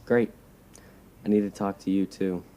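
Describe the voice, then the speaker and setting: A man's voice: low-pitched, steady tone, moderate pace, mellow, natural voice. An actor playing an awkward, eager-to-please young man in an audio drama, hesitant and bashful.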